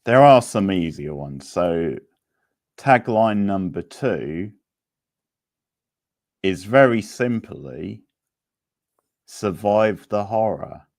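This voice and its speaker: A man with a low voice hosts a quiz in a casual, conversational style. He takes his time, speaking slowly and leaving space between phrases. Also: expressive. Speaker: quiz host. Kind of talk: casual live quiz hosting.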